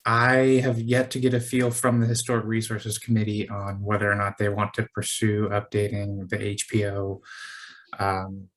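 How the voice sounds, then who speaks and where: A man's voice: on the low side, little pitch movement, moderate pace, a bit bright. A man working as a city staffer, answering questions on a conference call in a careful, hesitant way. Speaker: city planning staffer, committee liaison.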